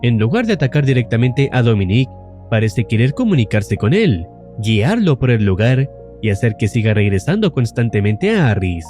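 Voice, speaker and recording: A man's voice: mid-range voice, sing-song, moderate pace, darkish tone. A man narrating a scripted, suspenseful recap of a horror series as an explainer video host. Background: faint music.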